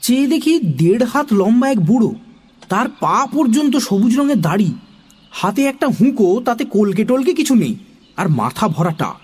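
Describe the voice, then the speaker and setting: A man narrating a children's story in an expressive, theatrical way, also speaking as the young boy in it. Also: very high voice, moderate pace, breathy edge. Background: music.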